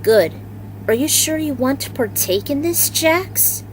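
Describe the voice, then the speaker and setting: A woman's voice: high voice, lively intonation, measured pace, slight background noise, voice pushed up, rough recording. A woman voicing a tough, tenacious female military general who talks frankly and with command.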